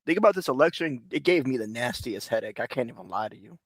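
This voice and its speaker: A man joking with friends at an election watch party, animated and loud. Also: mid-range voice, quick pace, dry recording. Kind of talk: casual banter with friends.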